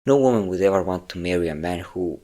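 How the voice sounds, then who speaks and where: A man's voice: low-pitched, lively intonation, fast talker, warm tone. A man playing a centuries-old, possessive and doting vampire captor in an intimate audio roleplay, coaxing and teasing his listener. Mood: wistful, reminiscing.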